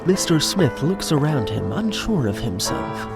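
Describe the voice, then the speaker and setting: Wry male narrator with lively pitch. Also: a little slow, gravelly. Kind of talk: scripted comic audio drama narration. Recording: music behind the voice.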